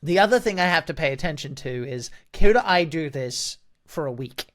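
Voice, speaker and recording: A man's voice: on the high side, lively intonation, normal speed. A man who works as a voice actor and dungeon master, explaining his approach to an audition in an analytical, think-aloud manner. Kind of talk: explanatory commentary on a voice acting audition.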